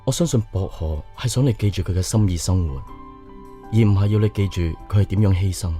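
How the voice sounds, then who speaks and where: An actor playing an earnest, polite young suitor in a radio drama, with a Hong Kong Cantonese accent. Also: male voice, deep voice, fast talker, warm tone, soft background music, lightly acted. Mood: earnest, careful. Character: earnest, polite young man shyly courting a woman.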